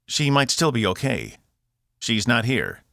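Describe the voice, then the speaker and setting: A man plays a determined engineer from a space crew in an audiobook. His speech is curt and commanding, with a gravelly, forceful edge and close, dry sound. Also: mid-range voice, huge pitch swings, a little slow, no echo, voice pushed up, exaggerated voice. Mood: reassuring. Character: terse, determined male space-crew engineer under pressure.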